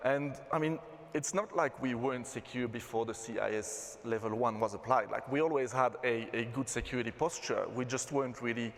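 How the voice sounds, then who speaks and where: A man from Belgium with a Belgian accent, giving a relaxed conference talk as a systems engineer. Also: mid-range voice, brisk. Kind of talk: conference presentation. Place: conference hall. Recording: reverberant hall.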